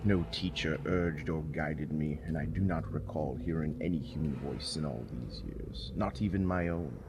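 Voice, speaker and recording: A man narrating a gothic horror story in a solemn, measured, brooding dramatic style. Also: rumbling low, lo-fi sound. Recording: noisy, with ambience underneath. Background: spooky ambience.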